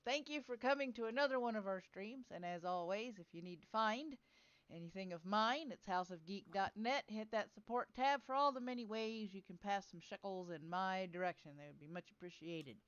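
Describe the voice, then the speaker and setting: A woman's voice: medium pitch, brisk, clear, resonant tone, no echo, muffled recording. A woman hosting a casual livestream, chatting with joking, sarcastic commentary. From southern United States. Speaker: livestream host.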